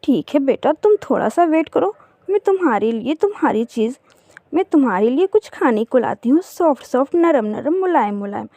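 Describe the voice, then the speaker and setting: A woman's voice: high voice, very animated, moderate pace, dark tone, dry recording. A woman voicing a big female bird of prey in a cartoon story, bossy and scolding yet motherly.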